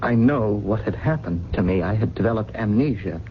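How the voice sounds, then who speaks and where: Guy acting as a lost scared amnesia man, halting and lowish, muffled old radio drama with faint hiss. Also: lively intonation, lightly acted. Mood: uneasy, confused. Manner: hesitant, halting, troubled. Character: frightened, bewildered amnesiac drifter, educated man on the run.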